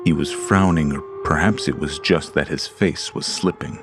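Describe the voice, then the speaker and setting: A man reading a horror story aloud in slow, deliberate, scripted narration. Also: rumbling low, over music. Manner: slow, deliberate, ominous delivery.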